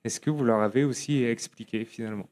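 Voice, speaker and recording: A man interviewing a guest, asking long questions with halting phrasing and fillers as he searches for his words. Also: medium pitch, a little mellow. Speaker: interviewer, journalist.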